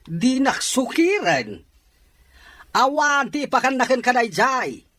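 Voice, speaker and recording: A man's voice: very high voice, sing-song, slow, fairly bright tone, no echo, exaggerated voice. A man playing a stern, disapproving Ilocano father in an audio drama, scolding emphatically in an Ilocano accent. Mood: scolding, dismissive.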